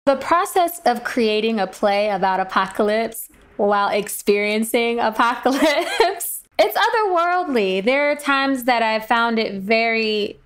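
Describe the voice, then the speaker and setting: A woman with a fairly high voice speaks as a guest in an interview. She talks in a reflective, conversational way about her own work as a writer. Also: lively intonation, unhurried, a bit bright, clear, resonant tone.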